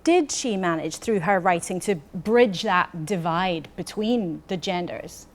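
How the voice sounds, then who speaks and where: A woman's voice: fairly low pitch, slow pace. A woman interviewing guests as a news programme presenter.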